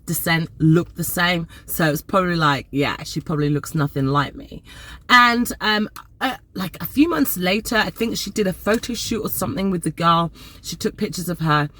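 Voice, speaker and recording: Woman vlogger in her 40s, Nigerian-born, low-pitched, animated casual talk to camera. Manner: chatty and animated, repeats words for emphasis.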